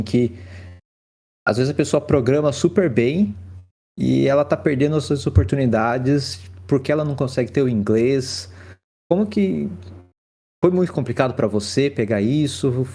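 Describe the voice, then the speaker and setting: Gravelly, dark-voiced Brazilian man hosting. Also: medium pitch. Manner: relaxed, hesitant questions with restarts. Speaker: podcast host. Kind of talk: casual podcast interview.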